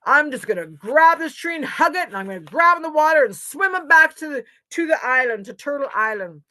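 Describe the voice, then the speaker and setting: Lady doing a big bold polar bear, loud hearty n sure of itself, folktale telling. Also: animated, fairly quick. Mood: confident, hearty. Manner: hearty, booming declarations. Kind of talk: oral folktale storytelling.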